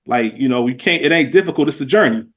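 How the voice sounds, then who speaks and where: A man's voice: mid-range voice, lively intonation, quick pace. A middle-aged man telling stories in casual, slangy podcast chat, stressing points emphatically and repeating words as he goes. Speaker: podcast guest.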